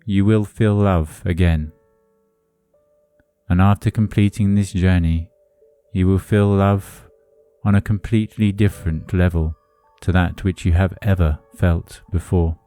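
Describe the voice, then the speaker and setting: Slow, very low-voiced man guiding meditation. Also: fairly even intonation, dark tone. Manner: soothing, deliberate, measured phrasing. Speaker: guided meditation host. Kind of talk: hypnotic guided meditation narration. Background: music under the voice.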